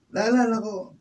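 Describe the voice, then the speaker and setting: Kind husband and doting father played by a man, very mellow voice, radio drama, slightly distant. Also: high voice. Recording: distant mic, faint noise and clicks.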